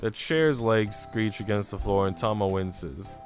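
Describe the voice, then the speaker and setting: A man's voice: on the low side, measured pace. A man streaming, reading a visual novel aloud with casual, chatty asides. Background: music.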